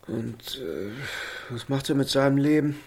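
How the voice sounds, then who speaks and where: A man's voice: mid-range voice, a little slow, darkish tone, husky. An actor playing a lonely, tipsy middle-aged father in a radio drama, wry and bitter, with a rambling, self-mocking way of talking. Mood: fatherly prodding. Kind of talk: naturalistic radio drama acting.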